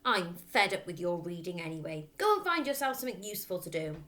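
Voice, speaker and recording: An irate middle-aged father from a children's storybook, acted by a woman. He barks and sneers in a bullying, contemptuous way. The pitch jumps about forcefully. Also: animated, fast. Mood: angry, contemptuous. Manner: barking, contemptuous.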